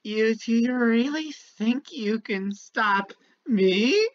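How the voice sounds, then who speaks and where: A small talking flower villain from a game, high-pitched and very animated. The delivery is theatrical and mocking, sliding between cutesy sweetness and cruel menace in drawn-out, slow phrases. The recording is dull and lo-fi. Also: female voice. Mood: maniacal triumph. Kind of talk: dramatic villain monologue from a game.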